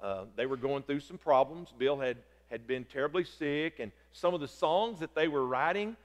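Loud pastor preaching in a hall. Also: male voice, on the high side, moderate pace. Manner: loud, excitable preaching with repeated stammers. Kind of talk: sermon. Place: large church sanctuary. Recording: long reverb of a church hall.

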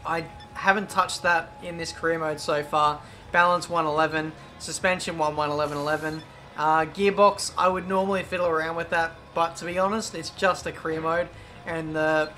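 British guy narrating his racing game, kinda croaky, high bright voice, music running underneath. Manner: chatty, excitable play-by-play. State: slightly croaky. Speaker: gaming YouTuber.

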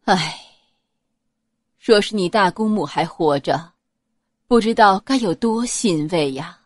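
A woman voicing a gentle, dignified noblewoman and mother, reasoning softly in an audiobook. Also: mid-range voice, slow, no echo. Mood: fond, wistful.

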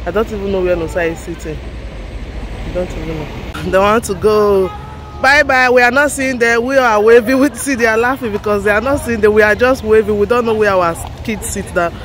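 A woman in her thirties with a Nigerian accent talks to a phone held at arm's length as she walks city streets. Her pitch sits in a plain middle range, and she sighs and laughs between sentences. Traffic and street noise run clearly behind her. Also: steady tone, brisk, a bit bright. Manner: talks to camera while walking, sighs and laughs often. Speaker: vlogger. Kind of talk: casual vlog narration.

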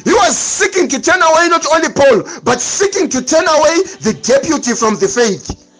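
A man's voice: very high voice, animated, moderate pace, fairly bright tone, faint music behind. A man preaching a sermon, emphatic and repetitive, with dramatic swings in delivery.

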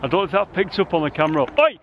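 Excitable middle-aged man exploring outdoors. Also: sits high, racing, muffled recording, heavy background noise. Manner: enthusiastic, rambling, full of exclamations. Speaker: urban explorer vlogger. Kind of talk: casual exploring vlog with narration. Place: outdoors inside a huge concrete cooling tower. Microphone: camera mic, handheld selfie framing.

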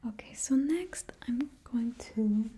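A young woman playing a doting female supervillain makeup stylist, whispering slowly and gently close to the mic in a put-on Russian accent, as ASMR roleplay. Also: a little high, expressive, slightly rough, heavily acted. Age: young adult. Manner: slow, gentle, fussy and attentive. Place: small indoor studio. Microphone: close mic, face near camera.

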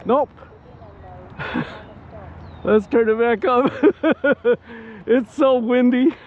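A man with a high-pitched voice gives casual, excitable commentary while flying as a hobby pilot. He talks unhurriedly, addressing viewers as he goes. The outdoor recording is slightly muffled. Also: very slow. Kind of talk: casual live flight commentary. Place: outdoors at a flying spot in wind and rain. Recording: muffled outdoor audio with background noise.

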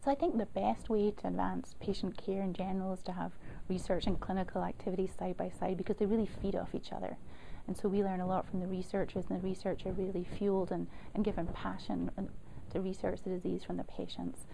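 A middle-aged woman physician speaks in a measured, explaining tone. Her voice carries a strong gravelly fry and a dark, mellow timbre. A faint room hiss sits under the recording. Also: on the low side, little pitch movement, rough recording. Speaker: pulmonary physician. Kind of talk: explanatory interview to camera. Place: office indoors.

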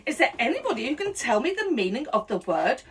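A woman's voice: fairly high pitch, very animated, fast, husky. A woman in her fifties doing a cheeky mock-schoolteacher comedy act, singing and laughing as she goes, filmed in a small room indoors. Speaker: comedy host. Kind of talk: rude comic song and story parody.

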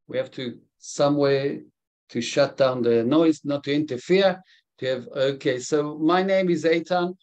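A man in his fifties with a blended South African and Israeli English accent speaks in an earnest way to a webinar audience. His voice sits fairly high for a man. He stops and restarts his sentences often, and the sound comes through a video call. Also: a little slow, darkish tone. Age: 50s. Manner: earnest, with frequent restarts and fillers. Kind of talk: live webinar presentation.

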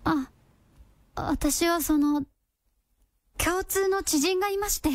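A woman's voice: extremely high pitch, slow pace, no echo. An actress playing an earnest, polite and hesitant young woman in a radio drama. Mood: flustered, polite. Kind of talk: Japanese radio drama acting.

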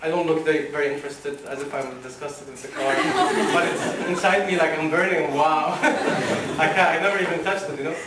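Young male motivational speaker, slightly high voice, animated, a distant mic in a roomy hall. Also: moderate pace, hardly any pauses, heavy background noise. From Oman. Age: young adult. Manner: animated, laughs at his own stories, acts out quotes. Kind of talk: storytelling motivational talk. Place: wood-panelled lecture room with a seated audience. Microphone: room mic at a distance while he walks the stage. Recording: echoey hall from far off.

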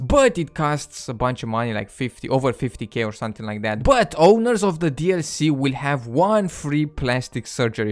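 Male gaming host, young adult, mid-pitched, casual play-by-play commentary.

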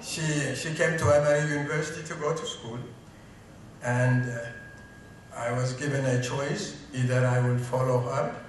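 Middle-aged Ethiopian-accented man, halting podium greeting full of fillers, roomy hall sound from a distant mic. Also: mid-range voice, fairly even intonation, a little hiss or hum. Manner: hesitant, many fillers. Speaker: interim business school dean. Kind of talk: speech at a tribute event. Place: event hall, on stage. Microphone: stand mic. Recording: echoey hall, recorded from a distance.

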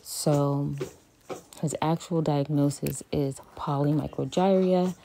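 Low monotone woman with a gravelly voice. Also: takes it slowly, slight background noise. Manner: calm, even and matter-of-fact. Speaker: parent vlogger. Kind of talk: home therapy vlog, talking while working with her son. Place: small bright children's playroom indoors. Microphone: phone camera on a stand a few feet away.

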